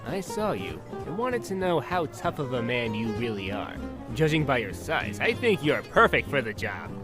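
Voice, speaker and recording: A man's voice: medium pitch, sing-song, moderate pace, music in the background, natural voice. An actor playing a pushy, swaggering male bar owner in a wasteland audio drama, teasing and threatening.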